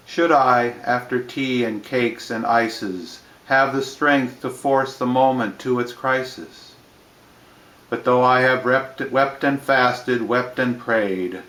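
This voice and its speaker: A man reading poetry plainly in a measured, phrase-by-phrase delivery, with line endings that trail off. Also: mid-range voice, a bit bright, reverberant, low-quality recording. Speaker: reader of poetry.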